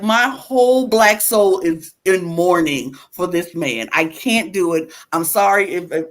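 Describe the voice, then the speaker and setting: Fiery woman podcaster ranting. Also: on the low side. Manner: fiery, emphatic, repetitive outbursts. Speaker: podcast co-host. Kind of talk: heated casual rant.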